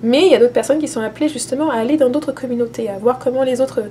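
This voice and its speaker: A woman's voice: high voice, very fast, warm tone, slight background noise. A woman speaking earnestly and reassuringly in a casual monologue, often repeating and restarting her words. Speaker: spiritual vlogger. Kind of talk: casual spoken monologue to camera.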